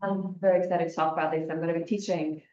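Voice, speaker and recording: A woman's voice: lowish voice, steady tone, quick pace, a little mellow. A woman in her forties who grew up in Albania, giving a conversational conference talk with frequent restarts. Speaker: academic panel speaker. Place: lecture room. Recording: echoey room, muffled lo-fi sound.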